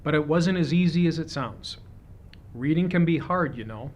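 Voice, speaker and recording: A man with a fairly high voice reads a picture book aloud to young children. His phrasing is expressive and deliberate, in the manner of a storybook reader. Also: a little hiss or hum.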